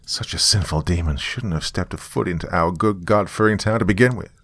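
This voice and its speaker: Furious pious father performed by a male narrator, low voice, self-righteous and scornful, storybook drama. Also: fairly quick, a bit bright, no room sound. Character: furious God-fearing father, hateful and scornful.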